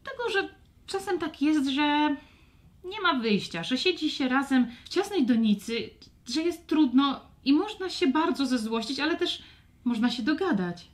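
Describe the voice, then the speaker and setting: Lady doing a young girl dragon for a kids' audio story, high voice, really expressive. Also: unhurried. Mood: earnest. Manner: expressive, exclaiming. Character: young female dragon, tearful then earnest peacemaker. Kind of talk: children's audio fairy tale.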